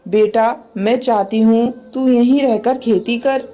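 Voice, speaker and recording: A woman plays an elderly mother pigeon in a cartoon, frail and loving. The tone is dark and mellow, with gentle instruction and some music underneath. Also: on the high side, fairly even intonation, slow pace. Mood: weak, pleading.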